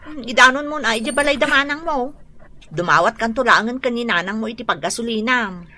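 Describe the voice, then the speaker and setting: A sulky, whiny young wife from an Ilocano radio drama. Her voice is high and extremely bright, piercing and sharp, with an Ilocano Filipino accent and a griping delivery. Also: lively intonation, a little fast, a little hiss or hum. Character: Susan, sulky complaining young wife and mother.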